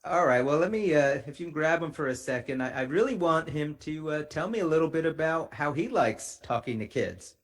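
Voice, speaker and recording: A man's voice: a little high, expressive, fairly quick, few pauses. A man hosting a podcast interview in a friendly, playful, conversational way.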